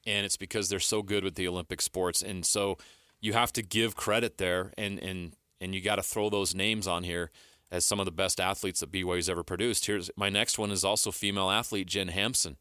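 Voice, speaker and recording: A man's voice: low voice, steady tone, fairly quick, pushed and bright, clean tone, no room sound, studio quality. A man co-hosting a sports talk show, giving emphatic, opinionated commentary as he counts down a list.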